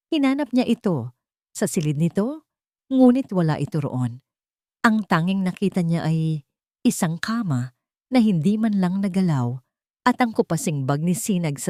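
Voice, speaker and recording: A woman narrating a scripted romance story in a dramatic, deliberate way with pauses. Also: on the low side, mellow, no echo, studio quality.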